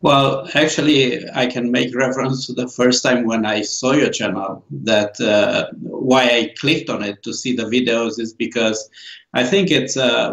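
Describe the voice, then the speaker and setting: A man in his forties from Romania with a Romanian accent, answering interview questions slowly with many pauses and fillers. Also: mid-range voice, reverberant, low-quality recording. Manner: slow, halting, many fillers and restarts. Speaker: author and guest.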